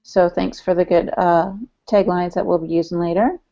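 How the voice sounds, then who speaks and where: A woman's voice: lowish voice, little pitch movement, normal speed, warm tone, highs cut off. A woman co-hosting a podcast, talking in an informal, chatty way. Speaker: podcast co-host relaying audience chat. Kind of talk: casual podcast chat.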